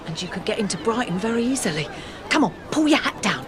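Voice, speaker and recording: A woman's voice: mid-range voice, big pitch swings, quick pace, crowd noise, natural voice. A woman playing an anxious, protective middle-aged English mother on the run in an audio drama, with an urgent, hesitant motherly manner. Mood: nervous.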